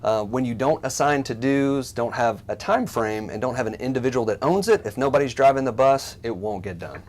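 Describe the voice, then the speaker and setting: A man co-hosting a workplace tech show, giving measured, scripted explanations with light, dry banter. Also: mid-range voice.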